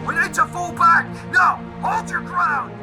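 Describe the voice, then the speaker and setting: A man playing a frantic soldier sergeant in a military sci-fi audio drama, shouting urgent battlefield calls. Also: very high voice, unhurried, loud music behind the voice. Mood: alarmed. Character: frantic male sergeant trooper shouting in a firefight.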